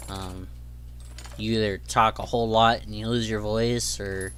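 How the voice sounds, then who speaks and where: A man's voice: fairly low pitch, fairly bright tone, slight background noise. A young man hosting his own gaming channel, chatting casually while he plays, rambling along with lots of ums and easygoing asides. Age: young adult. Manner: rambling, filler-heavy, easygoing. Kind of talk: casual gameplay commentary.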